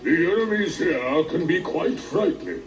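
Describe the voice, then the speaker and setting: A man's voice: on the high side, lively intonation, normal speed, distant mic, natural voice. A man voicing an old male dragon elder in a video game, giving advice in a theatrical way. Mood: advising. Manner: theatrical, advising. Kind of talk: video game cutscene acting. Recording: music behind, from off mic.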